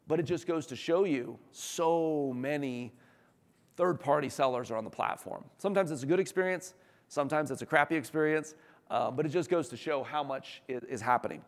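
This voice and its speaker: Enthusiastic male presenter in a reverberant hall. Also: a little high. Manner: enthusiastic, conversational, emphatic. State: slightly hoarse. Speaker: company executive presenting. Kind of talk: informal business presentation to an audience. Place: large room, talk before an audience. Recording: echoey hall with long reverb.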